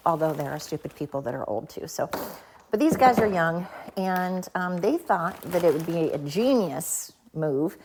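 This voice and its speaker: A woman hosting a solo podcast in a chatty, rambling, self-deprecating and slangy way, talking into her phone. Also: medium pitch. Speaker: podcast host. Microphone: talking into a phone while pacing.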